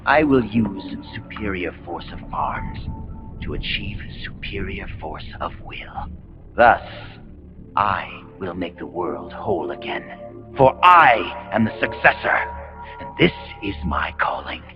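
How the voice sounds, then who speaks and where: An actor with an American accent playing a calm, messianic military commander villain in a video game, speaking in solemn, grandiose, deliberate speeches. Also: male voice, low-pitched, exaggerated voice. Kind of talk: dramatic video game cutscene monologue. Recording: muffled game audio under loud music.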